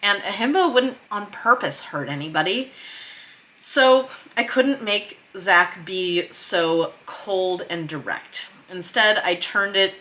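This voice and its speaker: A woman's voice: medium pitch, slow pace, pushed and bright. A woman talking casually and emphatically, thinking aloud as she explains her work in a vlog. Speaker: screenwriter and vlog host. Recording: noticeable background noise.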